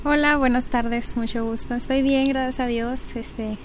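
A woman's voice: on the high side, steady tone, measured pace, slight background noise. A woman, answering interview questions politely and a bit hesitantly, with frequent filler words. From Mexico. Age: 20s. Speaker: pageant candidate.

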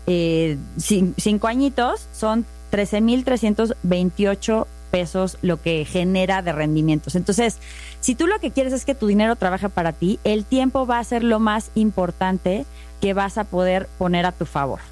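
A woman with a Mexican accent talks through investing in a relaxed, methodical way. She lays out each example patiently and clearly. Faint music and a mains hum sit under her voice. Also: medium pitch, a little slow. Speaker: finance guest. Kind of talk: casual explanatory chat.

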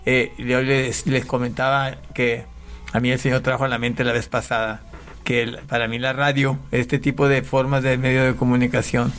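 A middle-aged man from Panama giving a conversational personal testimony as an interview guest, often repeating words and clearing his throat as he goes. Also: mid-range voice, level tone, normal speed, a bit bright. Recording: clearly noisy background.

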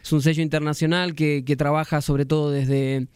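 A man's voice: on the high side, level tone, normal speed, a little mellow, clear, resonant tone, no room sound, studio quality. A man from Argentina, a musician guest on a radio show, talking reflectively and searching for words as he goes. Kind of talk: radio interview.

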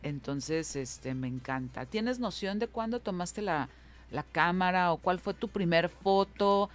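A woman with a Mexican accent hosting a casual group chat, lively and prompting her guests. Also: lowish voice, a little slow. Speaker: host.

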